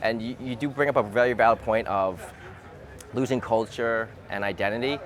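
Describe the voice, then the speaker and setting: A man from the United States talking through his views in a relaxed conversation, hesitant and repeating words as he thinks aloud, recorded outdoors. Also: mid-range voice, moderate pace. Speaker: interviewee. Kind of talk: thoughtful casual conversation. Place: outdoors in a city.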